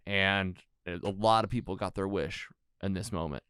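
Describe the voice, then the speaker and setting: A man's voice: deep voice, normal speed, fairly bright tone, smooth, no room sound, clean studio sound. A man hosting a casual film recap chat, retelling scenes and acting out bits of dialogue as he goes. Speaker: podcast host leading a movie recap.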